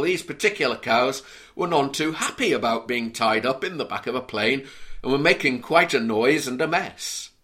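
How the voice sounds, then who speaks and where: A man from Liverpool with a mild Scouse accent, preaching an earnest, measured sermon and reading scripture aloud. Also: mid-range voice, fairly bright tone. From Liverpool, England.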